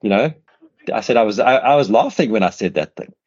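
A man's voice: fairly low pitch, animated, brisk, darkish tone, lo-fi sound. A man with a South African accent hosting an interview, rambling in casual chat with many restarts and repeated words. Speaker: podcast host.

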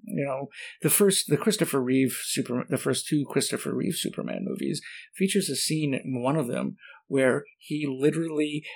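Gravelly-voiced man, thinking aloud. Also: mid-range voice, normal speed. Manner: hesitant, many ums and restarts, thinking aloud. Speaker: guest professor. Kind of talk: conversational interview.

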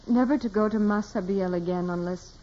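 An actress playing an earnest, devout teenage peasant girl in a reverent, gently insistent manner, in 1940s radio drama style. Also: mid-range voice, brisk, lightly acted. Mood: calm, gentle. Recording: muffled old radio recording, music behind.

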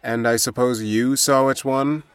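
A man playing a by-the-book city guard in a fantasy audio drama, measured, dry and formal. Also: medium pitch, steady tone, slight background noise, natural voice. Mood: probing.

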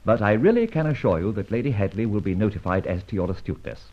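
A man playing a suave, flippant gentleman adventurer who teases wryly, in an old radio crime serial with dull sound. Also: fairly low pitch, animated, fast. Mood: teasing, smooth-talking. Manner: flippant, teasing, debonair.

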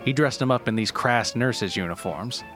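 A man's voice: mid-range voice, normal speed, fairly bright tone, faint music behind, natural voice. A man playing an exasperated elderly race director, rambling and grumbling conversationally through a reminiscence in an acted interview. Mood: exasperated reminiscing.

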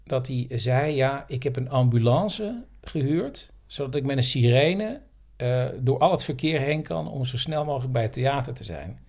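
A man's voice: medium pitch, animated, moderate pace, a little mellow. A man co-hosting a podcast, telling stories and explaining things in a casual, conversational way. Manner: explanatory, anecdotal. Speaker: podcast co-host.

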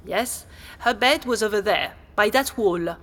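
An actress playing a helpful, slightly flustered exhibition guide, speaking politely and hesitantly in naturalistic audio drama dialogue. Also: female voice, mid-range voice, animated, measured pace, a bit bright. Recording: long hall-like reverb.

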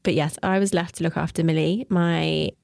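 Woman in her thirties, from Wales, gravelly low voice, dry close recording, casual teasing chat. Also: steady tone, moderate pace, a little mellow, dry recording. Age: 30s. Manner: giggly, teasing. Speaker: podcast guest.

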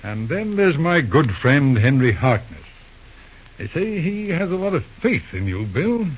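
An actor playing a patient, shrewd older bachelor lawyer uncle in an old-time radio drama, reasoning in an avuncular, hemming and hawing way. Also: male voice, fairly high pitch, very animated, measured pace, slight background noise. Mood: wry, prodding. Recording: muffled old radio show recording.

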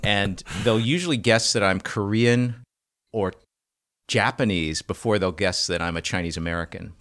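A man's voice: lowish voice, moderate pace, a bit bright. A man co-hosting a podcast in casual conversation, chatty and self-mocking. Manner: chatty, laughs at himself.

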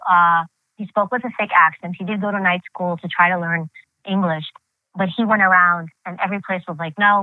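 A woman's voice: lowish voice, no echo. Candid woman on a tinny line. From New York, United States. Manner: candid, reflective, frequent fillers and restarts. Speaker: guest. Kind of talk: personal storytelling in an interview. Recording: tinny phone-like line, dry.

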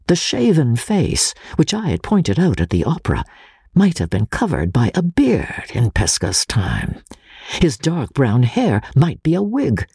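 A man narrating classic literature in the first person as a dramatic reading, in a measured, formal, deliberate manner. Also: mid-range voice, wildly swooping pitch, creaky, a little mellow, slightly rough, dry recording, studio quality. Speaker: audiobook narrator.

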